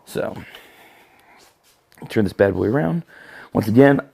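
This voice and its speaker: A man with a hoarse, scratchy throat walks viewers through lighting gear in a live studio stream. His voice sits fairly low, and he talks in a loose, chatty way, filling pauses with "uh" as he handles the equipment. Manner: relaxed, chatty, with frequent fillers. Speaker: company product presenter. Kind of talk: casual live product demo. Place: photo studio.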